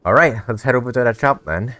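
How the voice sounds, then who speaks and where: A man's voice: mid-range voice, expressive, fast talker, a bit bright. A man giving casual, joking commentary as he reacts to a game he is playing. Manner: joking, giggly. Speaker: gaming content creator.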